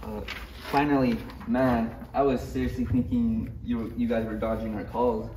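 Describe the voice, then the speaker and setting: Man playing mellow, polite alien cloning salesman. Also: mid-range voice, little pitch movement, moderate pace, lightly acted. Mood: polite, eager. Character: polite, salesmanlike alien cloner, proud of his product. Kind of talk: live table read of parody script. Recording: echoey room, distant mic, faint noise.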